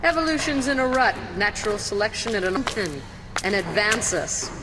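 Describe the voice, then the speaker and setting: An actress playing an arrogant, ruthless villain ruler in a video game, haughty and declamatory. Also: female voice, medium pitch, animated, normal speed, background noise, close to an everyday voice. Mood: contemptuous. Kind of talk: video game cutscene acting. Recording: long reverb, loud music behind.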